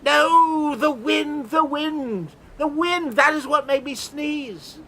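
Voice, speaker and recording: Young page boy lookout, radio play, extremely high pitch, piercing bright tone, shouting, excitable. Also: slow pace, a little hiss or hum, heavily acted. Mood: excited.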